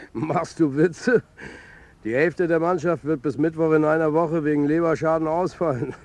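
An actor playing a blunt, bantering football coach in naturalistic radio drama dialogue, talking in a dry, colloquial way. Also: male voice, fairly high pitch, fairly even intonation, a little slow, lightly acted. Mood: amused. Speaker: character in dialogue.

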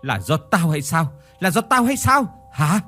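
A man's voice: mid-range voice, big pitch swings, brisk, darkish tone, lightly acted. A man voicing a wronged young wife, anguished and defiant, with a tearful, choked delivery in a dramatic audio story. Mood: furious, screaming. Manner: tearful, choked, repeating phrases in outrage. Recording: music behind the voice.

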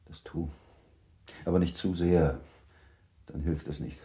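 An actor playing a composed, worldly middle-aged baron and husband in a German radio play, measured and slightly paternal as he advises. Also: low-pitched, fairly even intonation, warm tone, reverberant. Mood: measured, cautioning.

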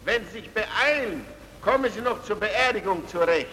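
High, swooping, blunt house porter. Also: male voice, animated, in a large hall, poor audio. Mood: matter-of-fact. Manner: halting, blunt, emphatic.

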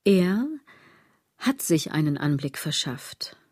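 An actress playing a musing, sardonic woman in a literary radio drama, speaking in a deliberate, declamatory way with phrases falling toward a whisper. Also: low-pitched, expressive, a little mellow, dry recording, clean studio sound, exaggerated voice. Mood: wry, reflective. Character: musing, sardonic female speaker addressing the listener directly.

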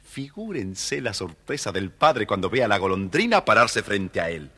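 A man narrating a scripted children's story in a dramatic, expressive way. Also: fairly low pitch, normal speed.

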